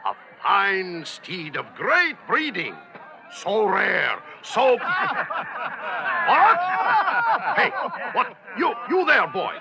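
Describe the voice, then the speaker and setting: A man voicing a pompous, impatient male auctioneer with showy, blustering patter in an animated film. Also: sits high, slow pace, vocal fry, noisy background, full character voice.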